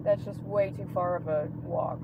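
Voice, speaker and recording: A woman's voice: fairly low pitch, steady tone, moderate pace. A woman driving at night, chatting casually and insistently, with lots of restarts, inside a car. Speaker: driver. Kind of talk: casual chat while driving. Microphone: 360 camera inside the car. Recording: tinny lo-fi sound, loud engine noise.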